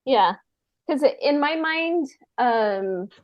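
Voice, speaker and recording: A woman's voice: high voice, animated, drawn-out words, lo-fi sound. A woman co-hosting a podcast in casual, hesitant talk peppered with "like" and "you know". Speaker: podcast co-host. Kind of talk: casual chat.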